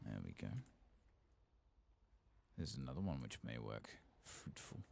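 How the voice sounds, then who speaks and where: A soft-spoken man murmuring unhurried ASMR commentary to himself as he plays through a video game. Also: very deep voice, lively intonation, gravelly. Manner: murmured, unhurried, talking to himself while playing. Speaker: gaming channel host. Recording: faint background noise.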